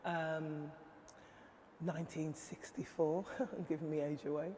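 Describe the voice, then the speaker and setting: A woman's voice: sits low, level tone, a little slow, darkish tone, in a large hall, slight background noise. A woman in her fifties, an artist, introducing herself in a light-hearted way. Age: 50s.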